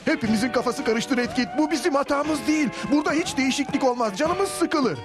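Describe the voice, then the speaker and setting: A man voicing an excitable, theatrical Western townsman in a dubbed cartoon. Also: very high-pitched, fairly quick. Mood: pleading. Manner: theatrical, urging. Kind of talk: Turkish-dubbed TV cartoon acting. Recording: music behind the voice.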